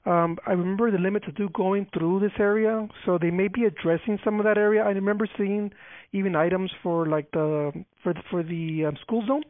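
High-voiced man on a phone line. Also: steady tone, a little fast, no echo. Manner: explains procedure, many fillers. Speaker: transportation department official. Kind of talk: phone call. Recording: tinny phone line, dry.

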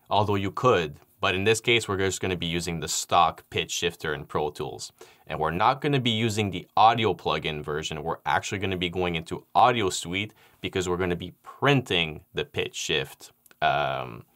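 A young man explaining audio editing software in a relaxed tutorial, with frequent fillers, recorded on a studio microphone in a dark studio. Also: low voice. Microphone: studio microphone on stand.

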